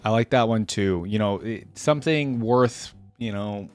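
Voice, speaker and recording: A man with a fairly low voice gives casual reaction commentary. He sounds informal and musing, offering appreciative remarks as he goes. Faint music plays behind him. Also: measured pace, darkish tone, clean tone. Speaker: reaction video host.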